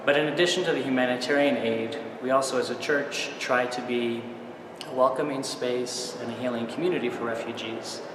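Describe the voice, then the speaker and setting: A man who coordinates a refugee project, explaining the work steadily and earnestly in a documentary interview. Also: mid-range voice. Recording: echoey hall, distant mic, loud noise.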